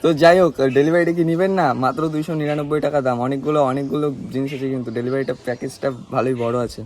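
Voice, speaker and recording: A man's voice: mid-range voice, fairly even intonation, very fast, darkish tone. A young man, chatting casually and teasingly on a prank call. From West Bengal, India. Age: young adult. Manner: teasing, playful. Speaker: prank caller, vlogger. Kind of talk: casual teasing phone chat.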